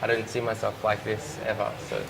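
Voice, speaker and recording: A young Australian man, a fashion model, answering interview questions in a relaxed, hesitant way with many restarts. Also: on the low side, brisk, gravelly. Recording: loud ambient noise behind.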